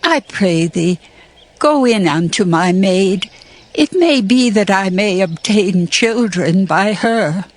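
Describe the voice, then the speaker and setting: An actress playing a sorrowful, earnest biblical wife in a dramatized scripture reading. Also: female voice, mid-range voice, lively intonation, slow. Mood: sorrowful, pleading.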